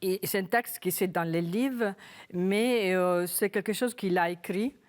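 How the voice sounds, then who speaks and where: An Italian woman with a Roman accent, a guest author on a television set. She speaks French haltingly, restarting phrases as she hunts for the right word, in an even middle-pitched voice. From Italy. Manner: hesitant, searching for words, halting restarts. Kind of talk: television interview with a read passage. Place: television studio.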